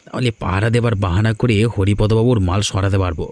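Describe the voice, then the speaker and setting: A man voicing a scheming young son in a cartoon, sly and quick to stammer when caught. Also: fairly low pitch, darkish tone, no echo, natural voice. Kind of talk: Bengali cartoon dubbing.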